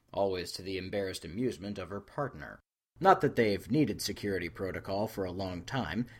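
A man reading a scripted story aloud as an expressive narrator. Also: lowish voice. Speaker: fan fiction reader.

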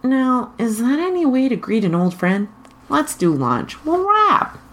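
A woman voicing a brash, sly man in a story, an eager engineer and former henchman, in a bright, pushy manner. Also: a little high, very animated, unhurried, voice pushed up.

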